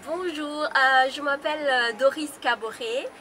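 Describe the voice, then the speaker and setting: A woman's voice: high voice, a little slow, background noise. A woman in her twenties from Burkina Faso, a pageant contestant introducing herself with frequent hesitations and restarts. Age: 20s. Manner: hesitant, many fillers and restarts. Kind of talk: spoken self-introduction.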